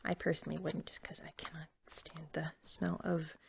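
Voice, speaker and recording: A woman playing an eager, friendly cashier at a magical pet store in a soft-spoken ASMR roleplay, often slipping into a whisper and chatting in a gentle, rambling way. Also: sits low, little pitch movement, normal speed, vocal fry, pitched below the performer's own voice. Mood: friendly, chatty.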